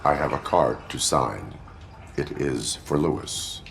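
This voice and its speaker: An actor playing a stoic cyborg police officer in a video game, speaking formally and deliberately like a machine. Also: male voice, a bass voice, creaky, slight background noise, heavily acted. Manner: formal, deliberate, flat robotic delivery.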